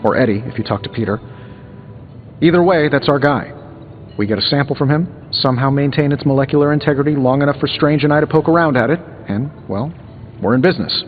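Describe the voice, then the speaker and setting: A man's voice: medium pitch, fast, lightly acted. A sardonic American genius inventor hero, played by a male game actor, talks in a glib, conversational way. His voice is slightly muffled and rings with long reverb, with music underneath. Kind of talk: video game dialogue acting.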